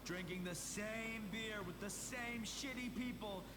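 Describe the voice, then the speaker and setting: A man's voice: high-pitched, measured pace, noisy background. An actor playing a disaffected, sardonic male slacker radio DJ, doing parody station patter in a video game. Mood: jaded. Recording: loud music over the voice.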